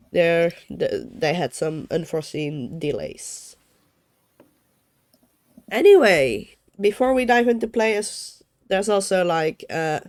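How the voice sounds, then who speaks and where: A woman's voice: on the low side, darkish tone. A sick, sniffly woman hosting a streamed tabletop game as game master, chatty and self-correcting as she rambles.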